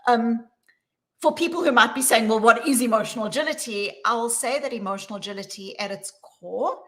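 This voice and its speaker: A woman with a South African accent, answering interview questions in an earnest, measured way. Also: on the high side, a bit bright. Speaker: guest, psychologist.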